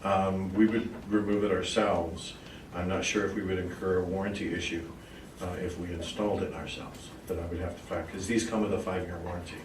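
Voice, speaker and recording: Low-voiced man in a roomy, noisy hall. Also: flat delivery, fairly quick, far from the mic. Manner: formal, deferential, explanatory. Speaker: school district staff member presenting a proposal. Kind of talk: answering questions at a public board meeting. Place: indoor meeting room.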